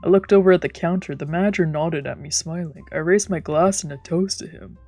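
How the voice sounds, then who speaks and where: A woman's voice: lowish voice, brisk, mellow, faint music behind. A woman streamer reading a visual novel aloud in a casual narrating style. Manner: casual, slangy.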